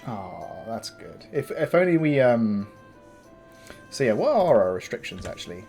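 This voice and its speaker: A man with a gravelly morning voice, casually commenting on his game as he plays, thinking aloud with fillers and restarts. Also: medium pitch, sing-song, unhurried, dark tone, faint music behind. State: tired morning voice. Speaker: gameplay commentator. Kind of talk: casual let's-play commentary.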